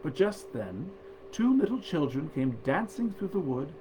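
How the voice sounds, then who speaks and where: A man's voice: fairly high pitch, very animated, a little slow, warm tone. Man reading old storybook tales, noisy background. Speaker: actor reading stories aloud. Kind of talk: scripted storytelling of 19th-century fairy tales.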